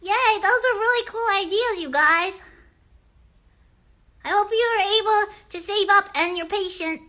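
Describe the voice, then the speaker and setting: A woman voicing an excitable, earnest little kid puppet on a children's livestream show, chatty and rambling, stumbling over big words. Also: very high-pitched, a little slow, full character voice. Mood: excited, friendly.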